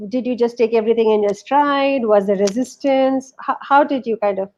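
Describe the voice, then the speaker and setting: A woman's voice: on the high side, normal speed, a little mellow, highs cut off. A woman interviewing guests, prompting them with questions and offering frequent short affirmations. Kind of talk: interview hosting.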